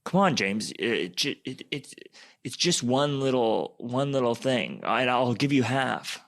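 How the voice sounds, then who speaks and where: A man playing a wheedling, trouble-prone teenage little brother in a radio play, halting and slangy. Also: medium pitch, animated, vocal fry, fairly bright tone.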